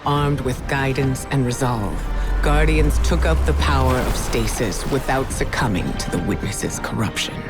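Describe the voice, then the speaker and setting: Casting: male actor as solemn war chronicler for a sci-fi game, mid-pitched, portentous and measured, loud score beneath. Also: lightly acted. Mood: grave, foreboding. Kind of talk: scripted dramatic video game narration. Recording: voice under loud game music.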